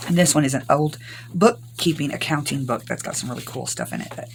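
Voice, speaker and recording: An older woman from Arkansas, hoarse from recent illness, chatting through a rambling crafting tutorial. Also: low-pitched, a little fast, a little hiss or hum. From Arkansas, US South. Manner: rambling, homey, many ums. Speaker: craft channel host.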